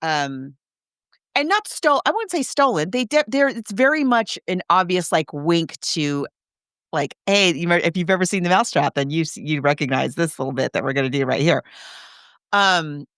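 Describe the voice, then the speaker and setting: A woman hosting a podcast in casual conversation, rephrasing as she goes in an animated, halting way. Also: on the low side, no room sound, clean studio sound. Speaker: podcast host. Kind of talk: casual film and book discussion.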